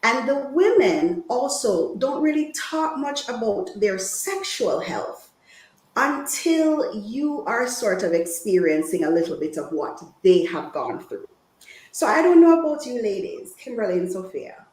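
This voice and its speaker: A woman's voice: on the high side, sing-song, measured pace, darkish tone. A woman from Jamaica giving an informal, preacher-like health talk, engaging her listeners with questions. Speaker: guest women's health speaker. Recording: echoey room sound.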